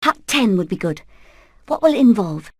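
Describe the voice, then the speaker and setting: A British woman playing a determined, plucky hen in an animated video game, speaking in a brisk, earnest, rallying way. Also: mid-range voice, slightly rough, dry recording, studio quality. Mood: brisk, planning. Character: determined, plucky female hen leading an escape plan.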